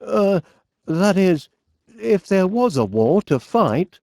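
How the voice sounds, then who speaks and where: An actor playing a fussy, cheerful, absent-minded middle-aged inventor with a Northern English accent, speaking in a bumbling, polite way for an animated comedy game. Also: male voice, high-pitched, takes it slowly, creaky, no room sound, studio quality, heavily acted. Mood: chatty, mildly fretful. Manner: bumbling, hesitant, polite, chatty.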